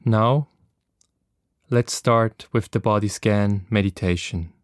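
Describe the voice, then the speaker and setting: Slow, smooth-voiced man guiding meditation. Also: lowish voice. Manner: gentle, unhurried instruction with long pauses. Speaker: meditation teacher. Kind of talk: guided body scan meditation.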